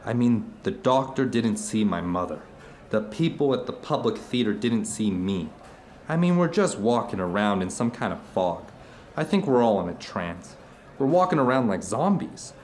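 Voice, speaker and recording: An actor playing an intense, animated friend at dinner, telling stories in an emphatic, rambling, philosophical way in an audio drama. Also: male voice, on the low side, moderate pace, slight background noise. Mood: agitated musing. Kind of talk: conversational audio drama dialogue.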